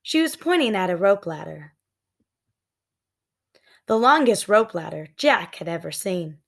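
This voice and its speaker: A woman's voice: mid-range voice, very animated, measured pace, gravelly. A woman reading scripted narration from a children's storybook. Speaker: storybook reader.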